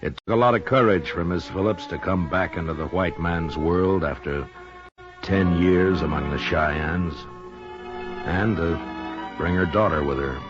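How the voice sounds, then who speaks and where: An actor playing a steady, protective Old West marshal in 1950s radio western style, plainspoken and laconic. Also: male voice, low-pitched, measured pace. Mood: reflective. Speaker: lead actor and narrator. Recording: dull vintage radio recording with music.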